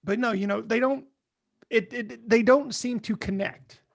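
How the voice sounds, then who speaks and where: A man with a high voice and plenty of vocal fry speaks as a podcast co-host. He is casual and blunt, often cutting in on the discussion. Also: steady tone, a little slow.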